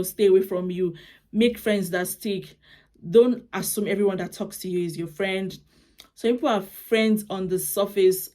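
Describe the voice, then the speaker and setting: A young woman with a Nigerian accent talks straight to camera, sharing practical advice in a relaxed, chatty way. Her voice sits at a middle pitch, and she often repeats or restarts a phrase mid-thought before carrying on. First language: Yoruba. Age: young adult. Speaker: vlogger. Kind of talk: casual advice vlog.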